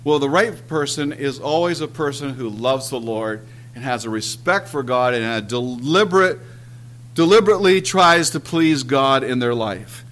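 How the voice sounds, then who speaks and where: A man preaching a sermon and telling his own story, earnest and emphatic, often clearing his throat and pausing on "uh". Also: medium pitch, expressive, a bit bright. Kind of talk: sermon with personal testimony.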